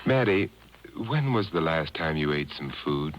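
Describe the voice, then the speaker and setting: An actor playing an urbane, wry gentleman detective in a 1950s radio drama, dry and teasing. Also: rumbling low, animated, measured pace. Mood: concerned. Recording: dull, hissy old radio tape.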